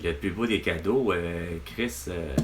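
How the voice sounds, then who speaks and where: A man's voice: deep voice, unhurried, a little hiss or hum. A man with a Québécois accent, venting to his mother on a phone call, rambling and exasperated, swearing often. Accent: Québécois French. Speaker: vlogger.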